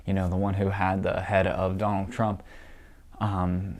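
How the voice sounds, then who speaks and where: A young man talks politics in a casual, scornful way, heavy on fillers. His allergies leave him stuffed up and nasal. His fairly low voice carries a gravelly fry. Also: monotone, a little slow, darkish tone. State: congested, stuffy nose from allergies. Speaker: political commentator.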